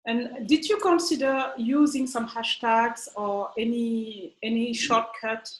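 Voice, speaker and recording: A woman's voice: fairly high pitch, steady tone, slow. A woman interviewer asking brief, direct questions. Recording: roomy echo.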